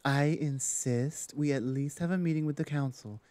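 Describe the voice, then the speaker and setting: A man's voice: fairly high pitch, normal speed, darkish tone, close to an everyday voice. A man playing a curious, self-important female orc shaman, an insistent sister in an ASMR roleplay drama. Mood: disapproving.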